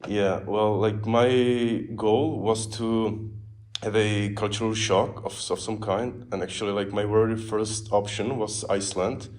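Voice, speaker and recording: A man's voice: on the low side, fairly even intonation, a little slow, a little mellow. A man in his twenties from the Czech Republic, an exchange student answering interview questions casually, with many fillers and repeated words. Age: 20s.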